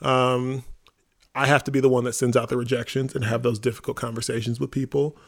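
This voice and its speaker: A man's voice: medium pitch, level tone, fairly quick. A man with an American accent chatting on a podcast as a theatre artistic director, in an informal, self-deprecating, rambling way. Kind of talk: casual conversational podcast talk.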